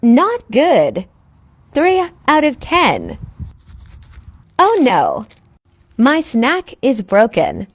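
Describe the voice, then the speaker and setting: A woman's voice: on the high side, wildly swooping pitch, extremely slow, long pauses, husky, no room sound. A woman giving short, simple commentary for ASMR videos as an unboxing and tasting presenter.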